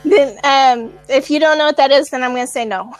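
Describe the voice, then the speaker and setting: A woman's voice: high voice, fairly quick, a little hiss or hum, low-quality recording. A woman hosting a casual live video chat, talking loosely with many ums and frequent laughs. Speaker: host.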